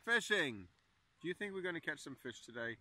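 A man's voice: fairly high pitch, very animated, fairly quick. A man in his thirties, a father filming his young son on a boat and coaxing him along in a playful, encouraging way. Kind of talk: casual family vlog. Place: outdoors on a small motorboat on open water. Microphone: phone camera held close. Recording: windy outdoor phone recording. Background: wind noise and boat engine.

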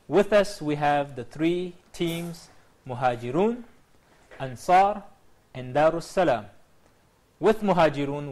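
A man's voice: fairly high pitch, darkish tone. A man in his thirties hosting a TV quiz show from a studio, upbeat and formal as he greets teams and reads out clues. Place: TV studio set.

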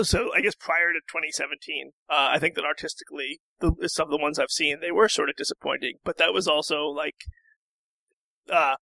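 A man talking casually as a podcast guest, in a halting, rambling way. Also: a little high, creaky, sharp tone, dry recording, rough recording. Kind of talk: casual discussion.

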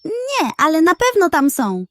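A timid storybook rabbit, played by a woman, with a very high, breathless voice. He pleads in frantic, urgent bursts. The sound is close and dry. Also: wildly swooping pitch, measured pace, dry recording, full character voice. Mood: frightened insistence. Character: timid, panicky male rabbit pleading for help.

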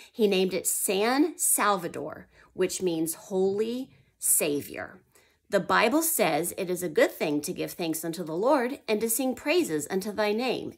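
Woman reading history to young kids. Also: lowish voice. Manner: asks children questions and answers them herself; drops to a whisper for suspenseful parts. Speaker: homeschool history teacher. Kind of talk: reading a history book aloud to young children.

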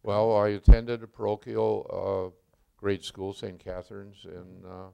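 An older man from Milwaukee, a retired chemistry professor, recalling his life in an oral history interview, speaking slowly with many pauses and fillers. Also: on the low side, little pitch movement, creaky, warm tone, clean tone. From Milwaukee, Wisconsin.

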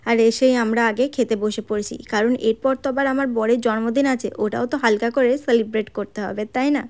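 A woman's voice: fairly high pitch, fairly even intonation, quick pace, darkish tone. A woman narrating her road trip vlog in a chatty voice-over, talking straight to her viewers. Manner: chatty, addresses viewers directly with tag questions. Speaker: travel vlogger.

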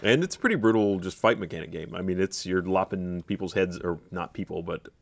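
A man's voice: low-pitched, brisk, vocal fry. A man in his thirties with an American accent, chatting casually with wry humour as a podcast co-host. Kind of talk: casual chat. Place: indoor podcast studio. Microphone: desk studio microphone.

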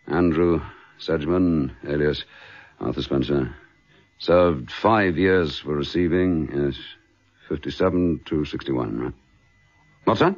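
An actor playing a brisk, courteous British chief inspector in a radio drama, with a husky voice. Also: male voice, deep voice, heavily acted. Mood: brisk, businesslike. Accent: British English. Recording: dull lo-fi radio drama recording.

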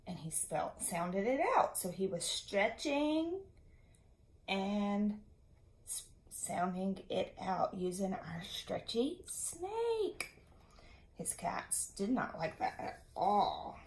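A woman in her thirties, a schoolteacher reading a picture book aloud to young children in an expressive, teacherly way, often putting questions to the listeners. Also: mid-range voice, slow. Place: small indoor classroom-style room. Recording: echoey room.

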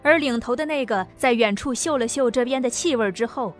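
Female audiobook narrator, fairly high voice, scripted novel narration over faint background music. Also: a little fast.

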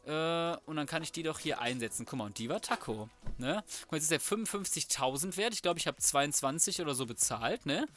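A man's voice: on the high side, animated, fairly quick, few pauses, a bit bright, soft background music. A man giving casual, colloquial game commentary, muttering to himself as he works through tasks. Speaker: let's play host. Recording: clear background noise, mouse clicks.

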